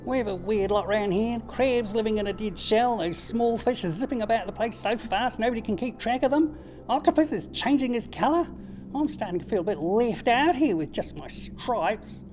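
A woman doing an excitable young striped fish. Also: fairly high pitch, moderate pace, faint music behind, close to an everyday voice. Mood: excited. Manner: excitable, easily baffled. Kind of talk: children's puppet show.

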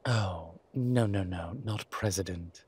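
A man playing a centuries-old vampire in a roleplay audio drama, suave, wry and protective, speaking in a measured, theatrical way with deliberate pauses. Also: low voice, a little mellow, full character voice. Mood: playfully boastful. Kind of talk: scripted roleplay audio drama addressed to the listener. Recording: clear background ambience noise.

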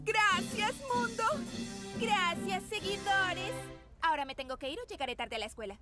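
A woman's voice: very high-pitched, normal speed. A woman voicing a vain, theatrical young internet star in a cartoon dub, self-important and dramatic. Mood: smug. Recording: loud music under the voice.

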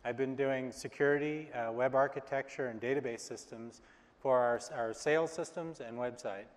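A man presenting a product on a live stage, relaxed and speaking with frequent fillers. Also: medium pitch, normal speed. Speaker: IT engineer presenting. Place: large stage, hall. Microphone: lapel mic. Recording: reverberant hall.

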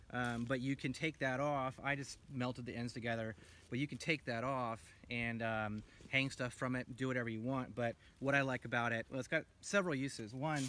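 A man's voice: medium pitch, sharp tone, slight background noise. A man in his thirties enthusiastically showing off and reviewing a pack he made, talking casually with lots of ums while sitting outdoors in a forest. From United States. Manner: enthusiastic, rambling with many ums. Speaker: gear maker reviewing his own pack. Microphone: camera a few feet away.

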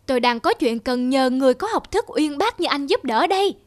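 A woman voicing a naive, anxious barn rat in an animated fable, polite and eager. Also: high voice, brisk, dry recording. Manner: eager, deferential. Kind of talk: animated fable character acting.

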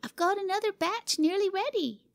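A woman doing a bossy shrill little girl. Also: normal speed, no room sound. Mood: commanding. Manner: shrill, imperious, gleeful.

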